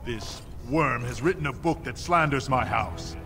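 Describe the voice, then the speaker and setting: A man's voice: medium pitch, measured pace, music in the background, close to an everyday voice. An actor playing a proud, indignant nobleman in a fantasy game, scornfully defending his house. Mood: angry, scornful. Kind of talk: fantasy video game dialogue.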